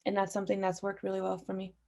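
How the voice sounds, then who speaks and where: A young woman who grew up speaking Farsi talks thoughtfully on a panel. She often pauses with fillers. Her voice sits in the middle range. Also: medium pitch, completely flat delivery, gravelly, dark tone. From Austria. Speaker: guest artist. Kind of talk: panel discussion.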